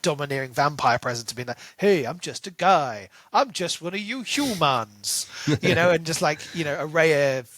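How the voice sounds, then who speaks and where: A man's voice: fairly high pitch, expressive, moderate pace, hardly any pauses, a bit bright, dry recording. A man co-hosting a podcast in a casual book discussion, speculating aloud and posing questions as he goes. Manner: speculative, rhetorical questions, acts out quotes. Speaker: podcast co-host.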